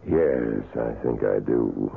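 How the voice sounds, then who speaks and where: An actor playing a grave, knowing, ominous man with a gravelly voice in a 1970s radio drama. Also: very deep voice, slow, exaggerated voice. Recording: muffled, phone-like old radio recording.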